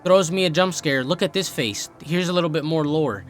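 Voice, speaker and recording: A man's voice: fairly high pitch, fairly quick, faint music behind. A young American man giving casual, joking reaction commentary in exclamatory slang. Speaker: host of a reaction channel.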